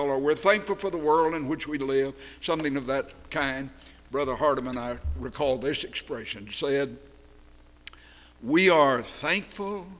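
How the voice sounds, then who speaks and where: An older man with a deep, distinctive voice, reminiscing in a sermon with slow, deliberate phrasing. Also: fairly bright tone, big-room sound. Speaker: preacher. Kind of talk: sermon-style storytelling.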